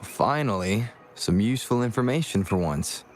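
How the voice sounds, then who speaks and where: A man playing a calm, wry young adventurer in a video game. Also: lowish voice, moderate pace, a little hiss or hum, natural voice.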